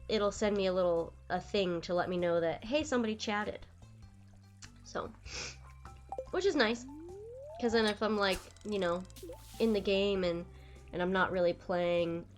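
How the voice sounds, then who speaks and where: A young woman streaming a game, chatting casually with viewers while stuffed up and nasal from a cold. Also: mid-range voice. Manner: chatty, rambling, lively. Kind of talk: casual live-stream chat while gaming.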